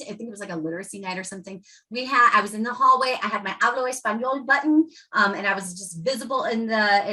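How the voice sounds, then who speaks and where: A woman educator leading a workshop for teachers, talking with lively energy and acting out quotes from students and parents. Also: a little high, low-quality recording. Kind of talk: presenting to educators.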